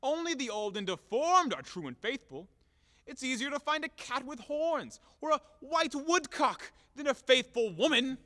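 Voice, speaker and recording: An actor playing a blustering, hot-tempered boor in an audio play, loud and declamatory, scornful and bombastic. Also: male voice, very high-pitched, big pitch swings, moderate pace, heavily acted. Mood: scornful ranting. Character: blustering, hot-tempered, boorish man demanding repayment, cynical about women. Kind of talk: theatrical audio play acting. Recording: echoey hall-like reverb.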